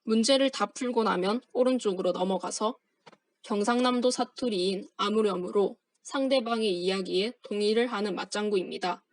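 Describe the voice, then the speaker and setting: A woman's voice: medium pitch, normal speed, no room sound. Young woman reading a formal project presentation. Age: young adult. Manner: formal, steady, reading from a script. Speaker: university student presenter. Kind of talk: read-aloud project presentation.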